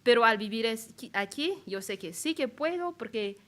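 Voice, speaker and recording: A woman's voice: a little high, lively intonation, normal speed, a bit bright. A young woman from Madagascar speaking a language that is not her first, telling a personal story at a colloquium, hesitant and searching for words. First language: not Spanish. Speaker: panel speaker. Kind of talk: personal account at a public colloquium.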